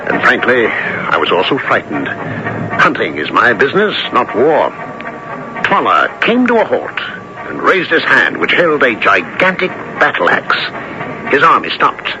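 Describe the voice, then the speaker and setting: A man's voice: mid-range voice, highs cut off, lightly acted. An actor playing an earnest hunter-adventurer who recounts his own tale as a dramatic radio narrator. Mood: tense, measured. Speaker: narrator and lead character. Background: music under the voice.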